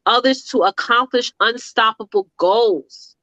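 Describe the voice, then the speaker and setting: A woman's voice: a little high, measured pace, pushed and bright, no echo, poor audio. A woman in her thirties co-hosting a talk show, speaking in an earnest, motivational way and repeating her points for emphasis. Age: 30s. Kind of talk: casual talk show chat.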